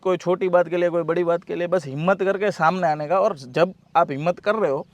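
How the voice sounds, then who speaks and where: A man's voice: sits high, fairly even intonation, a little fast, dry recording, muffled recording. A man. He gives advice earnestly in casual conversation, often repeating phrases to stress them. The recording is dry. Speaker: interview guest.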